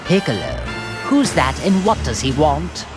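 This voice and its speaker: Haughty, wrathful low-voiced villain. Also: female voice, wildly swooping pitch, a little slow, music in the background, heavily acted. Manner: imperious, contemptuous, threatening. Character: haughty, wrathful being of arrogant menace. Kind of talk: dubbed anime video game cutscene acting.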